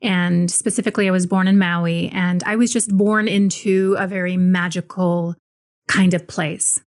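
A woman from Hawaii, a spiritual teacher guesting on a podcast, telling her life story in a calm, reflective, earnest way. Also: lowish voice, moderate pace. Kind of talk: interview storytelling.

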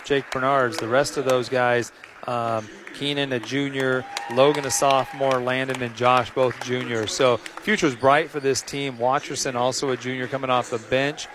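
A middle-aged American man, slightly hoarse, calling a pregame basketball broadcast in a rambling way, full of "uh" fillers and restarts. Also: mid-range voice, noisy background. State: a little hoarse. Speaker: play-by-play announcer. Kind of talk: pregame sports broadcast commentary. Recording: music in the background.

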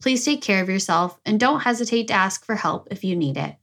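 A woman's voice: medium pitch, animated, fairly quick, a little hiss or hum. A woman hosting a podcast interview, reading a scripted introduction and then chatting upbeat with her guest. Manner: upbeat, warm thanking, reads a content warning. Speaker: podcast host.

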